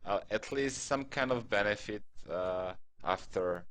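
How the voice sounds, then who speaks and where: A man's voice: lowish voice, a little slow, fairly bright tone. A man from Croatia with a Croatian accent, English not his first language, talking through a game tutorial with many fillers and restarts. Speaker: gaming tutorial creator.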